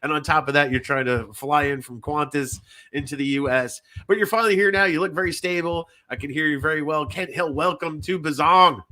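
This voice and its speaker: A man's voice: a little high, a little fast, bright, forceful tone. A man hosting a podcast in casual chat, rambling and repeating himself, with some swearing. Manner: rambling, repetitive, frequently swearing. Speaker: podcast host.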